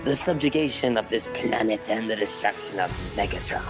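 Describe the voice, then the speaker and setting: A man's voice: lowish voice, fairly quick, over music, natural voice. A man voicing a scheming, commanding alien robot villain with an imperious, formal delivery in a dramatic comic dub.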